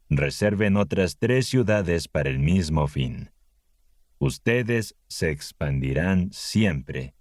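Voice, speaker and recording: A man narrating scripture as a scripted audiobook, in a measured, solemn way with deliberate breaks between phrases. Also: extremely low pitch, a little mellow, no room sound, studio quality.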